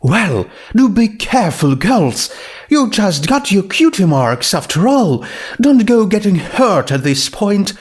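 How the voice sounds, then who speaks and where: A refined, motherly lady pony, as a male reader puts her on in a story. The voice is lifted high and slow, with a genteel, fussing manner. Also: big pitch swings, breathy edge, exaggerated voice. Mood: gently concerned. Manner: genteel, fussing, affectionate endearments. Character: refined, motherly female pony dressmaker, caring and firm.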